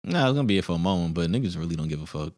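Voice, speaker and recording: A man's voice: low-pitched, animated, racing, a little mellow. A man on a casual podcast, arguing bluntly and cursing insistently. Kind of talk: casual debate chat.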